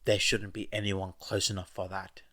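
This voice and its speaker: A man's voice: low voice, little pitch movement, moderate pace, pushed and bright. A man voicing an irritable, exhausted young villain leader. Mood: irritated.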